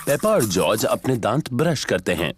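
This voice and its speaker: A man's voice: mid-range voice, big pitch swings, moderate pace, faint music behind. A man reading scripted narration for a children's cartoon as its narrator.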